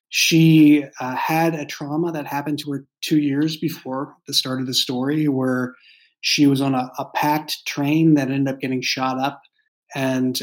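A man's voice: mid-range voice, rough recording. A man talking thoughtfully as an interview guest, often searching for his words. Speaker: podcast guest, writer and creator. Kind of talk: casual, thoughtful interview talk.

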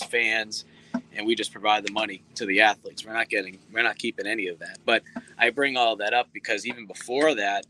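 A man in his thirties, a sports reporter, talking earnestly in a relaxed interview with many fillers. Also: fairly low pitch, slight background noise, poor audio. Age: 30s. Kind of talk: casual interview talk.

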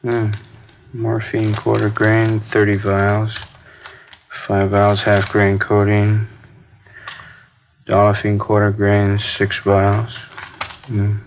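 An easygoing narcotics sergeant. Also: male voice, on the low side, completely flat delivery, very slow, pauses often, slight background noise. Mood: casual. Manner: casual, conversational with muttered asides. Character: easygoing male narcotics police sergeant, adult. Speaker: police sergeant. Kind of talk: 1950s radio drama acting. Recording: dull, lo-fi 1950s radio broadcast.

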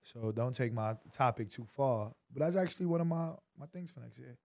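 A man's voice: medium pitch, brisk, creaky, mellow. A man from New York co-hosting a podcast, bantering and arguing in casual slang. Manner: laid-back, argumentative banter with slang. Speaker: podcast co-host. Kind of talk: casual chat.

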